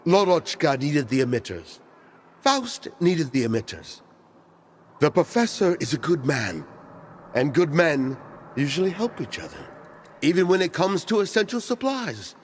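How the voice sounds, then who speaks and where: High-voiced, cryptic, knowing schemer. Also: male voice, sing-song, unhurried, slight background noise. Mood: evasive. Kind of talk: video game cutscene acting.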